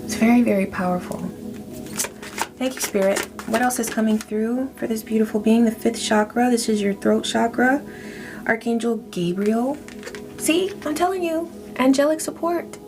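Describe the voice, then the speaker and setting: A woman giving a spiritual tarot reading to her viewers, speaking in a soft, affirming way and drawing out her phrases. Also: on the high side, moderate pace. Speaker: tarot reader. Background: background music.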